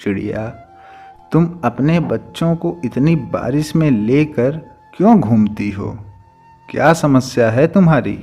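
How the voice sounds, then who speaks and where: A man's voice: medium pitch, expressive, unhurried, mellow, breathy edge, faint music behind. A man playing a solemn, kindly forest sage in a cartoon story, grave and reassuring. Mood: calm, kindly. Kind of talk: Hindi cartoon story dubbing.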